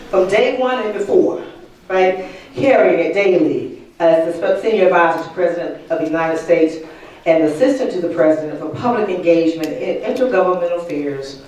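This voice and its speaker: A woman's voice: lowish voice, a little mellow, a little hiss or hum. A woman host introducing the keynote speaker in a folksy, chatty way, joking with the audience. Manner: folksy, chatty, talks to the crowd. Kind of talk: casual introduction at an event. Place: large conference room. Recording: echoey hall, mic from far off.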